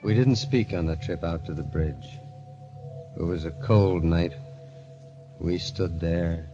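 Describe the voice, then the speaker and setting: An actor playing a bitter, haunted young gambler turned murderer, grave and intense, in old-time radio drama style. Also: male voice, low voice, slow pace. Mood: grim, tense. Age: young adult. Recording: muffled vintage radio recording, music behind.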